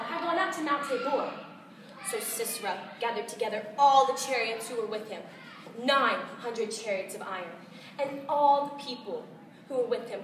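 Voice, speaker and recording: A woman with an American accent giving a dramatized, emphatic recitation of scripture in a storytelling style. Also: high voice. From United States. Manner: theatrical, emphatic, storytelling delivery. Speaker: competition contestant reciting scripture. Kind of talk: dramatized recitation of Bible narrative. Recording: roomy, distant mic, faint noise.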